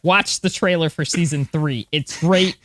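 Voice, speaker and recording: A woman's voice: deep voice, a little slow, fairly bright tone, a little hiss or hum. A woman co-hosting a podcast, talking casually in a chatty, enthusiastic way. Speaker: podcast co-host. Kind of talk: casual space news podcast chat.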